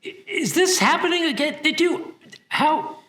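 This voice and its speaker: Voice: sits high, expressive, measured pace, fairly bright tone. An actor playing a skeptical, bewildered science professor in an action sci-fi film, hesitant and incredulous. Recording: roomy sound, faint music behind.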